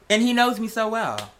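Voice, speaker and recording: A man's voice: high voice, a bit bright, slight background noise. A man chatting casually and affectionately as a vlog host, earnest and often repeating his phrases for emphasis.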